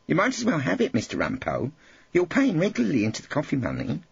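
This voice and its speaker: A man voicing an attentive, insistent woman who works in a barristers' chambers, speaking briskly. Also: fairly high pitch, wildly swooping pitch, gravelly, breathy edge, highs cut off, voice pushed up, heavily acted.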